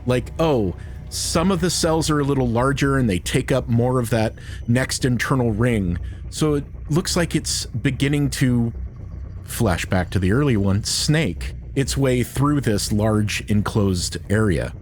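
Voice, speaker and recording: A man talking and narrating a tabletop game in an emphatic, descriptive way, pausing for drama on key words. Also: medium pitch, lively intonation, a little hiss or hum. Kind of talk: animated talk and improvised game narration. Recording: music behind the voice. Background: music.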